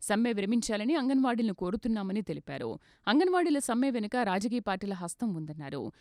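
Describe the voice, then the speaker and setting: A woman delivers scripted news in a formal register. She moves quickly through each item at a medium pitch. The sound is very dry, with no room echo. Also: sing-song, dark tone. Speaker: news reader. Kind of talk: scripted news bulletin narration.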